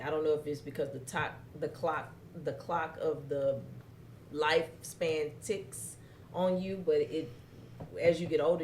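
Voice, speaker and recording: A woman hosting her show, her voice low and loud enough to carry across a room. She rambles warmly through stories with plenty of "you know" and restarts. The sound bounces around a roomy space. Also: slight background noise. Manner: loud voice that carries, lively storytelling, many fillers. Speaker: talk show host. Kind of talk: casual chat.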